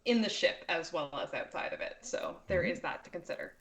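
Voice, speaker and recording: A woman speaking casually as a game master, chatty and quick to laugh as she guides her players. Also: lowish voice, huge pitch swings, a crowd in the background. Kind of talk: casual improvised game hosting.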